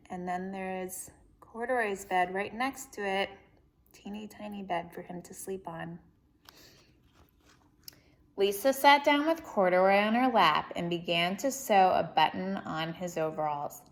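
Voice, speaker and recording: A woman reading a children's story aloud expressively, with gentle explanatory asides for young listeners. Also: medium pitch. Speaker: storybook reader.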